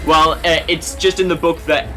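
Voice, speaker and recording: A man's voice: a little high, steady tone, normal speed. A man giving casual, joking and sweary film commentary as co-host of a reaction show. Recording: loud film audio behind the voice. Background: film soundtrack with music.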